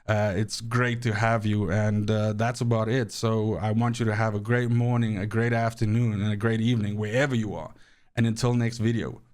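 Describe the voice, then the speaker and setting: A man's voice: fairly low pitch, little pitch movement, moderate pace. A man from Namibia with a South African accent, casually explaining a game and thanking his channel's viewers, often repeating words as he restarts. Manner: grateful, earnest. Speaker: gaming channel host. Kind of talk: casual talk to camera.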